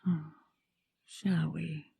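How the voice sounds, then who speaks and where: A woman playing a regal queen and tender lover in a romantic fantasy audio roleplay, breathy and often whispering, with lingering hums and pauses. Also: low voice, flat delivery, mellow, slight background noise, full character voice. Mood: tender, reflective.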